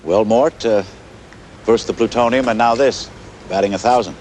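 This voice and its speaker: An actor playing a measured, hesitant male news anchor covering a crisis live in a 1980s TV drama. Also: on the low side, darkish tone, close to an everyday voice. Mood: composed. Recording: hissy 1980s TV broadcast audio.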